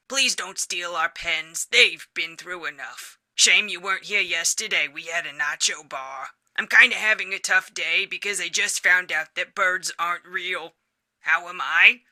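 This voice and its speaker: A man's voice: sits high, sharp tone. A man voicing a fussy, put-upon office receptionist in a comedic video game, with a prissy, passive-aggressive manner.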